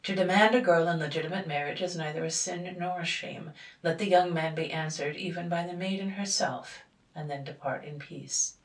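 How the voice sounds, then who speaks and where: A woman's voice: fairly low pitch, echoey room, far from the mic, natural voice, poor audio. Woman doing a cold, cautious older man. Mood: measured. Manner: calm, measured.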